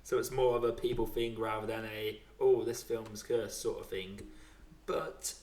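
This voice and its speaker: A man's voice: on the low side, fairly even intonation, a little slow, darkish tone. A man hosting a podcast with casual chat about films. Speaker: podcast host. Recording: roomy, echoey sound.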